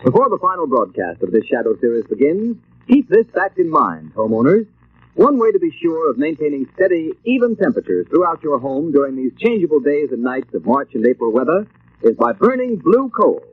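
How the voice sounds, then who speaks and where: An actor playing a formal, declamatory announcer in the style of 1940s radio. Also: male voice, on the high side, big pitch swings, brisk, husky. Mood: solemn, promotional. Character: solemn radio announcer selling coal and introducing mystery. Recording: muffled, tinny old-time radio broadcast.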